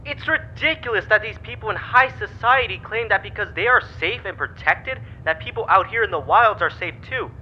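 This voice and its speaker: Angry colonist, played by a man: high voice, sarcastic, indignant, dull radio feed with faint rumble. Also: brisk, few pauses, natural voice. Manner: sarcastic rhetorical questioning. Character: indignant outer-colony resident airing complaints. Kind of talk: opinion clip in audio drama.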